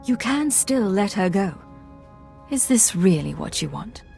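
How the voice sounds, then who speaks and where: An actress voicing a composed, authoritative woman intelligence agent in a video game, speaking in a measured, guarded, formal way. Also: medium pitch, very animated, vocal fry, dark tone. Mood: stern. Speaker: player character in a video game. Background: music.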